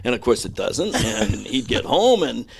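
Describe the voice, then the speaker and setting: A man telling stories in a casual, wry, conversational way as a podcast guest and radio broadcaster, with a gravelly voice. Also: mid-range voice, sing-song, moderate pace, a crowd in the background. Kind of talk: casual storytelling banter.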